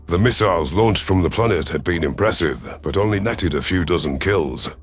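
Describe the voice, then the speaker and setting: A man voicing a gruff, proud alien chief hunter who commands a fleet, growling and impatient, in a first-person sci-fi audio drama. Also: moderate pace, over music, close to an everyday voice. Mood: wary, brooding. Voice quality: low, growling.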